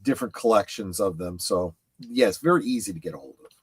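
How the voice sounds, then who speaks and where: A middle-aged man in casual conversation on a livestream panel, speaking haltingly and often repeating words as he goes. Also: on the low side, animated, slightly rough, dry recording. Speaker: panelist on a pop culture livestream. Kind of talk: casual chat about comics.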